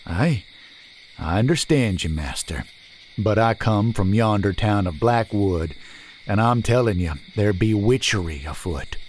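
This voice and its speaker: A man's voice: lowish voice, expressive, measured pace, a little hiss or hum. A man doing a humble rustic stranger. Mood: pleading. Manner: humble, old-fashioned rustic speech.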